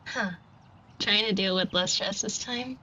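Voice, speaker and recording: A shy, fond florist, acted by a woman in an audio roleplay, heard through slightly dull audio with some noise. Her voice sits at a middling pitch. She is hesitant and stammers as she talks straight to the listener, putting herself down a little. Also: a little slow, pushed and bright. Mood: casual, bashful. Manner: hesitant, stammering, self-deprecating, colloquial. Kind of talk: scripted roleplay acting addressed to the listener. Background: shop ambience sound effects.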